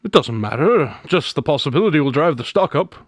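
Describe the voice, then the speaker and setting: A man's voice: on the high side, sing-song, a little fast, no room sound. A man acting a shrewd, unflappable private detective in a modern radio drama, with a wry, knowing, teasing manner.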